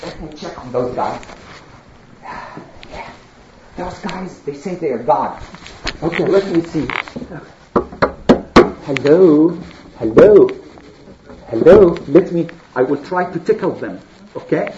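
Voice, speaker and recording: A woman's voice: deep voice, expressive, measured pace, mellow, voice pushed down. A woman voicing a curious, bold young boy who questions and challenges, in a children's storytelling lesson. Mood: sneaky, doubting. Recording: echoey room, dull sound, faint noise.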